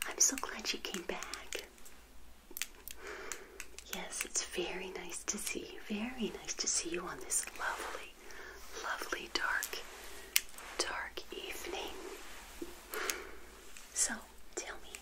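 Friendly witch, played by an older woman, ASMR roleplay: soft whisper, slow delivery, gentle and eager. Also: medium pitch, expressive, poor audio. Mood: welcoming. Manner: gentle, unhurried, repeats phrases softly. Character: friendly, eager, naive lonely witch serving tea.

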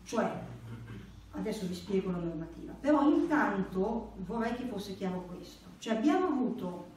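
A middle-aged woman speaks as a lecturer at a religious conference. Her voice is soft-edged and mellow, her delivery conversational yet emphatic. The room rings heavily around her and she sounds somewhat away from the microphone. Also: mid-range voice, very animated, moderate pace. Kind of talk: explanatory religious lecture, partly read from notes. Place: indoor church meeting room. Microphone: stand microphone. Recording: very echoey room, distant, faint hiss.

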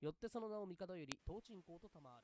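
A man's voice: high voice, lively intonation, brisk, slight background noise. A man giving a declamatory, theatrical recitation of an old kabuki speech.

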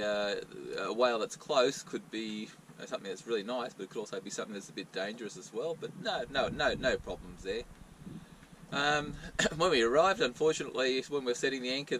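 Relaxed man on a yacht. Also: medium pitch, slight background noise, low-quality recording. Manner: relaxed, many ums and uhs. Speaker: sailing vlogger. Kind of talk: casual piece to camera. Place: outdoors on a small yacht's cockpit.

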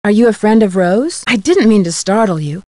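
A woman's voice: mid-range voice, expressive, a little fast, dark tone, dry recording, clean studio sound, lightly acted. An actress playing a curious, upbeat young woman detective in a video game, with an inquisitive, scripted delivery. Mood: inquisitive, friendly. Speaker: game protagonist.

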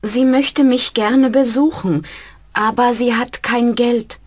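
A woman's voice: on the high side, fairly even intonation, slow, no room sound. A woman voicing a young female student who is a native Japanese speaker, politely applying for a job in a scripted language-course dialogue. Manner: polite, careful and clearly articulated. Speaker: job applicant in a textbook dialogue.

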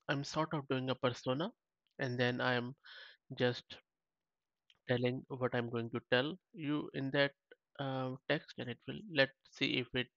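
A man's voice: medium pitch, fairly bright tone, no room sound, lo-fi sound. A man hosting a tech tutorial, thinking aloud in a casual walkthrough with frequent restarts. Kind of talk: casual screen-share walkthrough.

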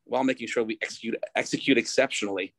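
A man in his forties on a video-call panel, talking haltingly and repeating phrases as a restaurant operator. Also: mid-range voice, fairly even intonation, a bit bright, no room sound. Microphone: phone at arm's length on video call.

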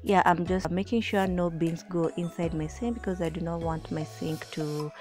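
A woman's voice: lowish voice, steady tone, normal speed, music in the background. A young woman chatting casually as she narrates her cooking prep, with frequent fillers and restarts. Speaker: vlogger. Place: home kitchen.

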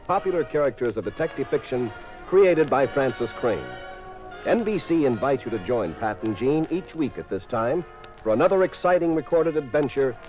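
A male announcer from 1950s network radio, formal and polished. His medium-pitched voice rises and falls boldly over faint music. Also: a little mellow, rough recording. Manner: formal, polished scripted delivery.

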